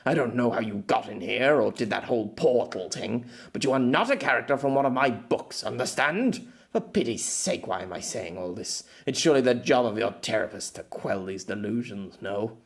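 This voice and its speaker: A man's voice: mid-range voice, expressive, moderate pace, vocal fry. A man voicing a grumpy, condescending novelist with a pompous, exasperated, sardonic manner.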